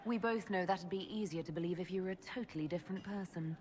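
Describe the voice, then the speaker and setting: An actress playing a composed, inquisitive mage leader in a video game, asking measured, direct questions. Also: female voice, fairly low pitch, darkish tone, slight background noise, lightly acted. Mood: skeptical. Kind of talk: video game dialogue acting.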